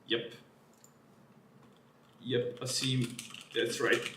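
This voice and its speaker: A man talks through a technical paper live, thinking aloud and correcting himself as he goes. His voice sits at an ordinary middle pitch. The room around him gives a noticeable echo. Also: completely flat delivery, extremely slow, background noise. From Serbia. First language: Serbian. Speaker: streamer reading a research paper. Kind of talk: live-streamed paper walkthrough.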